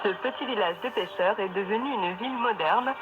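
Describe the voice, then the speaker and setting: A woman's voice: medium pitch, fairly quick, lo-fi sound. A woman giving scripted documentary narration on a shortwave radio broadcast. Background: loud music.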